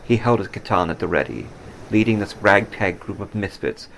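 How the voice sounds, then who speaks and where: A man narrating a scripted story dramatically, with solemn, deliberate emphasis. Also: lowish voice, animated, gravelly, a bit bright, a little hiss or hum, rough recording. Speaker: author reading own story.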